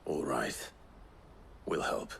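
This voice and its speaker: A man's voice: extremely low pitch, drawn-out words, creaky, a bit bright, in a whisper, exaggerated voice. An actor playing a stoic, earnest male hero in a fantasy video game, speaking in a terse, restrained way. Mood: resolute.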